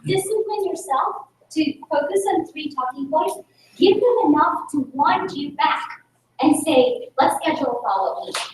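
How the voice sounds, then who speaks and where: Woman from the Philippines, Tagalog native, high voice, energetic public talk, heavy room echo, distant mic. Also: moderate pace, slight background noise. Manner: energetic, rhetorical, engaging the audience. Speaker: speaker at a club meeting. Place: meeting room. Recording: echoey room recorded from a distance.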